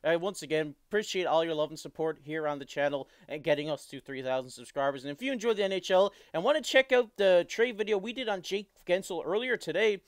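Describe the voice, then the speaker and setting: A man's voice: high-pitched, fast, few pauses, dry recording. A man from Newfoundland with a thick Newfoundland accent, hosting an enthusiastic hockey analysis show, with many fillers and restarts. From Newfoundland, Canada. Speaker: host.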